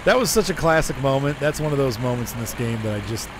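A hoarse man chatting casually as he streams a driving game, rambling and answering his chat. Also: mid-range voice, lively intonation, fast. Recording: noisy game sound behind.

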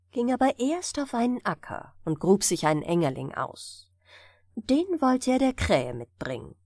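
A woman's voice: mid-range voice, a little mellow, dry recording, studio quality. A woman storyteller narrating a scripted bedtime fairy tale for an audiobook.

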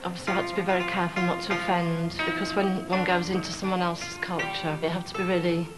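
A woman's voice: on the low side, level tone, fast talker, rough recording. Thoughtful woman interviewee over music. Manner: thoughtful, with hesitant fillers. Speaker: costume designer.

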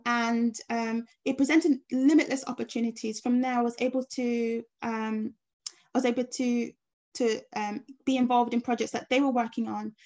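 A woman speaking as a guest on an online panel over a video call, pausing often with ums. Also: a little high, vocal fry, darkish tone. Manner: hesitant, many ums.

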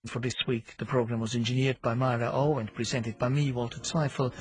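A man's voice: mid-range voice, level tone, fairly quick. A man presenting a radio programme, reading a scripted sign-off in a studio.

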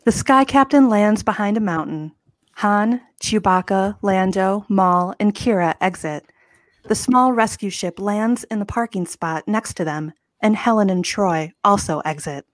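A woman's voice: mid-range voice, measured pace, no room sound. A woman reading scripted stage directions as narrator of a comedy table read. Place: home room. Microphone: home video call.

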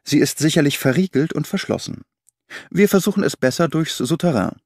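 A man voicing a brisk, commanding male detective with a clipped, authoritative delivery. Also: fairly high pitch, expressive, no room sound, studio quality, pitched above the performer's own voice. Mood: practical.

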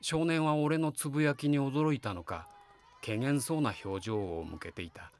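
A man's voice: mid-range voice, moderate pace, a little mellow, natural voice. A man playing a kindly, wistful middle-aged family man in a Japanese voice drama, both narrating reflectively and talking in dialogue. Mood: wistful, gentle. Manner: reflective storytelling alternating with hearty, coaxing dialogue.

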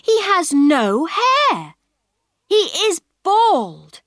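A woman narrating a simple scripted story for English learners in a deliberate, expressive way. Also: very high voice, dry recording.

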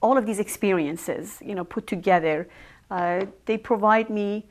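Persian-accented woman, hesitant candidate. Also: medium pitch. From Iran. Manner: measured, many ums and uhs. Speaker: village trustee candidate. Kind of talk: local cable interview.

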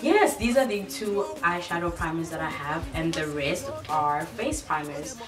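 Hoarse young woman in an echoey room. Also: sits low, animated, a little slow. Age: young adult. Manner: chatty, self-mocking asides. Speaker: beauty vlogger. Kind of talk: casual makeup tutorial. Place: bright room indoors. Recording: echoey room, mic far off, music behind.

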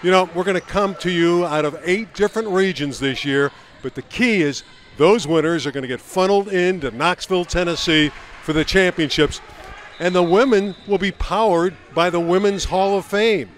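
Male play-by-play caller, energetic, slightly higher voice, light background hiss. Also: moderate pace. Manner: energetic, builds to shouts. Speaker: play-by-play announcer. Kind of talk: sports broadcast commentary.

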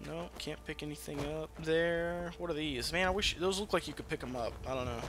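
A man's voice: fairly high pitch, fairly quick, noisy background. A man giving casual, rambling let's play commentary, with some swearing. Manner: rambling, profane. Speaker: gaming video host.

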